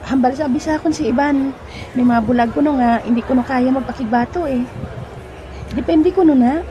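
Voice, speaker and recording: A woman's voice: sits high, fairly quick, dark tone, muffled recording, close to an everyday voice. A woman playing a chatty, giggly young woman who worries about her boyfriend, in an amateur audio drama. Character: cheerful young woman, lovesick girlfriend.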